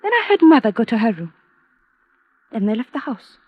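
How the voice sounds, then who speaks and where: A woman's voice: a little high, big pitch swings, a little fast, dry recording. A woman playing a bitter, distraught young stepdaughter in an intense, petulant, overwrought old radio drama style. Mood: distressed recounting. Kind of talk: 1940s-50s radio drama acting. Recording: dull vintage radio recording.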